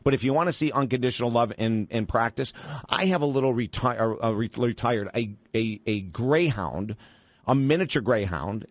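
An upbeat man hosting a live radio talk show, speaking into a studio mic. His voice is mid-pitched, and his delivery is enthusiastic and emphatic. The sound is close and dry. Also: dry recording. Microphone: studio microphone.

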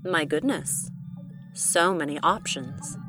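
A woman playing a scheming, coolly polite alien official in an audio drama, speaking in a measured, calculating manner. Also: low-pitched, over music. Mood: smoothly scheming. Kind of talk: scripted audio drama acting.

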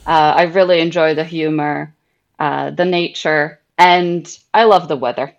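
A woman's voice: sits low, fairly even intonation, measured pace, gravelly, dull audio. A young woman whose first language is Czech, speaking English with a Czech accent and a slight Scottish lilt, answering interview questions thoughtfully with frequent ums and small restarts. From Czech Republic. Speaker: guest, English teacher.

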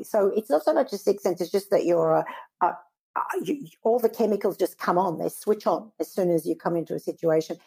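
A woman from Israel, a guest in an interview, giving earnest, measured answers and often pausing to search for words. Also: on the low side, gravelly, a little mellow, breathy edge.

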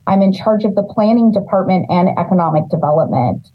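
A woman's voice: mid-range voice, brisk. A woman from California, a city development director, earnestly explaining her work as an interview guest. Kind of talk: interview answers. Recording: dull lo-fi audio.

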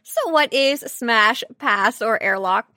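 Female co-host, slightly high voice, amused casual explainer, recorded dry and close. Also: animated, takes it slowly, bright, forceful tone, no room sound. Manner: lighthearted, amused. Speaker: podcast co-host. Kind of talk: casual explanatory podcast chat.

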